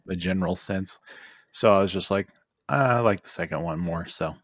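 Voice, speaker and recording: A man's voice: low voice, moderate pace, fairly bright tone, no echo, studio quality. A man chatting casually as a podcast panelist, making plain, brief statements. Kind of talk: casual chat.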